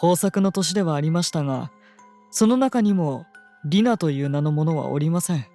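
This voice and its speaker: Man acting as gentle, unnervingly calm princess's guardian. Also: a little high, normal speed, soft background music. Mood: calm, courteous. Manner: formal, gentle, precise polite speech. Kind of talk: scripted fantasy audio drama acting.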